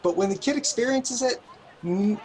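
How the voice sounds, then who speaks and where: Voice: mid-range voice, moderate pace, a little mellow, rough recording. An interview guest speaking earnestly and emphatically, giving thoughtful answers in carefully weighed phrases. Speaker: director of a children's park, interviewed guest.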